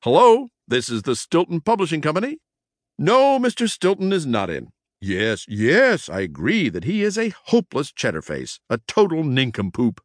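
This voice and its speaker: A man acts out a mischievous male mouse from a children's storybook. The voice is squeaky and high, swinging widely as he teases. He sounds cocky and giggly, a born prankster, recorded close and dry. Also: wildly swooping pitch, moderate pace, no room sound. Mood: smug, mocking. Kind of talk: acted children's storybook dialogue.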